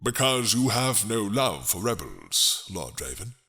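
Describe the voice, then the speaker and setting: A man voicing a grave, suspicious king in a dramatic roleplay, stately, formal and deliberate. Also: fairly low pitch, very animated, vocal fry, pushed and bright, exaggerated voice. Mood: grave, commanding.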